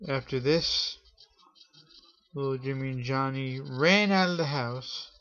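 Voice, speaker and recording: Slow-talking man telling a spooky story. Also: mid-range voice, a bit bright, muffled recording. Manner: halting, pausing between short phrases. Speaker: host telling a scary story. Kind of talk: improvised spooky storytelling for viewers.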